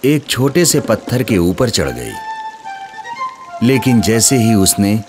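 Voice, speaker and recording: A man narrating a scripted children's moral story in an expressive storytelling manner. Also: lowish voice, takes it slowly. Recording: music under the voice.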